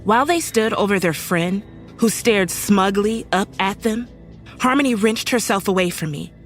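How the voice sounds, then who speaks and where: A woman's voice: medium pitch, animated, normal speed, lightly acted. Actress as serious woman narrator, music underneath. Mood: horrified. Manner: serious, suspenseful storytelling. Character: uneasy young woman recounting a horrifying night. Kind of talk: dramatic scripted first-person horror narration.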